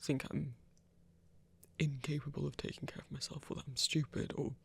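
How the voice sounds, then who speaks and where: A woman playing an awkward, self-conscious werewolf hunter in an ASMR romance, stammering in a husky, breathy voice. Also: deep voice, flat delivery, moderate pace, exaggerated voice. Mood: flustered, touched. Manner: flustered, stammering, self-deprecating. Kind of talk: intimate ASMR romance roleplay.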